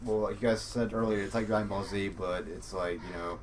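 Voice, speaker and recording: Man, lowish voice, casual podcast chat, brief offhand remarks, distant from mic, faint noise. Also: fairly even intonation, brisk. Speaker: podcast co-host.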